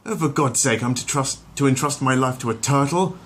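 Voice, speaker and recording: A haughty little cartoon baby acted out by a man, pitched well above the usual male register. He is pompous and theatrical, a tiny schemer who talks like a grand gentleman. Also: brisk, a little hiss or hum, close to an everyday voice. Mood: disdainful.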